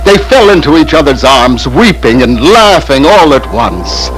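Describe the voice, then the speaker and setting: A man narrating a children's audio story as a dramatic, expressive storyteller who draws out his words. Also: on the high side, a little slow, rough recording. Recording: loud music behind the narration.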